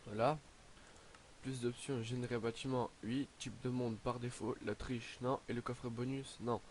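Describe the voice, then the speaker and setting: A teenage boy talking through his game on a headset at home, hesitant and full of fillers. His voice sits at a middle pitch and is slightly stuffy from a cold. A faint hiss runs under the recording. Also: level tone, normal speed. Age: teens. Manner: hesitant, lots of "donc, euh" fillers. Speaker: gaming YouTuber. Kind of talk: casual game commentary.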